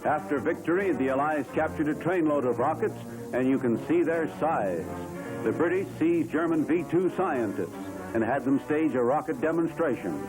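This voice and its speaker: Old 1940s newsreel guy, higher voice, formal dramatic announcing, loud music behind him. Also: lively intonation, normal speed, rough recording. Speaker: newsreel narrator.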